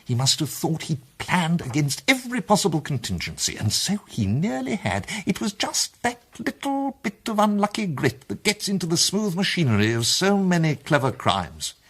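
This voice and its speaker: Give this part as a confident British amateur sleuth in a radio mystery. The voice is mid-pitched and a little husky, with lively, swooping intonation. The delivery is deliberate and emphatic, like a formal case being laid out. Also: male voice, full character voice. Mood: confident, explanatory. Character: confident amateur male detective laying out his case. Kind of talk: British radio drama acting.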